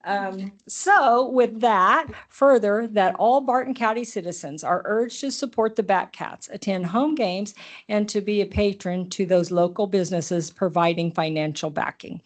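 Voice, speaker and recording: A woman's voice: medium pitch, unhurried. A middle-aged woman, a county commissioner, reading a proclamation aloud and chatting informally at a public meeting. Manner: friendly, informal asides with laughs.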